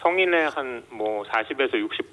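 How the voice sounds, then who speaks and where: A man, a medical doctor, calmly explains medical facts in a radio interview, pausing often with fillers. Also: mid-range voice. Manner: measured, explanatory, many filler hesitations. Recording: dull lo-fi radio sound.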